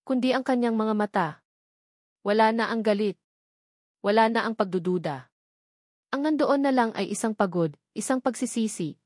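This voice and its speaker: A woman's voice: medium pitch, darkish tone, no room sound, clean studio sound. A woman with a Filipino accent reading a scripted romance story as a dramatic narrator.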